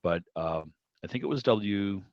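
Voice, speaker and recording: A middle-aged man with a fairly low voice hosts an informal online meeting, talking in a conversational, reflective way. The video call sound is somewhat dull and roomy. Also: animated, measured pace. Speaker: host of a club meeting.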